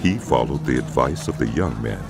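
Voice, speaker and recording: Very deep, gravelly male narrator. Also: expressive, brisk. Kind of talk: scripted dramatic scripture narration. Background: loud music.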